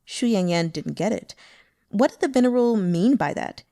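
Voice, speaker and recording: A woman reading a translated fantasy novel aloud as an audiobook narrator, in an expressive, measured storytelling manner. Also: mid-range voice, dry recording, clean studio sound. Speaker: audiobook reader.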